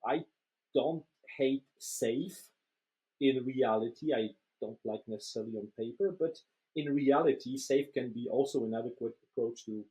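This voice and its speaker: A man's voice: medium pitch, moderate pace, mellow, low-quality recording. A man from Poland, explaining conversationally as a guest, hesitant and repeating words as he goes. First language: Polish. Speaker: interview guest, professional trainer.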